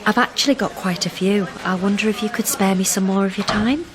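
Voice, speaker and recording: A woman's voice: mid-range voice, fairly quick, a crowd in the background, close to an everyday voice. An actress playing a determined, reassuring younger woman in a naturalistic radio drama, with a conversational, coaxing manner. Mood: politely businesslike. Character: determined, reassuring younger woman investigating her fiancé's past.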